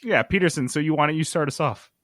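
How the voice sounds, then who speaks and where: A man's voice: a little high, quick pace, fairly bright tone, no room sound, clean studio sound. A man hosting a podcast with casual, joking and teasing banter, steering the discussion.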